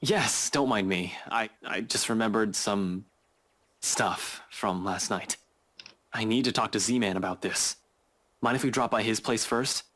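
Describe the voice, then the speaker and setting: An actor playing a shaken young male investigator in a game, halting yet insistent. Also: fairly low pitch, fairly bright tone. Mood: shaken, evasive. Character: shaken, evasive young male investigator, stubbornly holding together. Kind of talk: naturalistic game voice acting.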